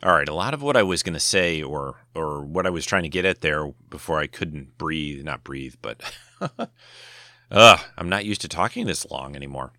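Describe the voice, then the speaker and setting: Middle-aged guy podcasting solo, super deep voice, kinda dry throat, rambles a lot. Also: expressive, moderate pace, bright, forceful tone, no echo. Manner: rambling, many restarts and fillers. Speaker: podcast host. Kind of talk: casual solo talk.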